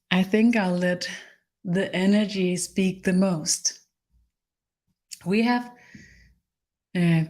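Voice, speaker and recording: A woman with a Danish accent, her first language Danish, leading a live-streamed spiritual healing session in a halting way, pausing to search for words. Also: mid-range voice. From Denmark. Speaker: energy healer.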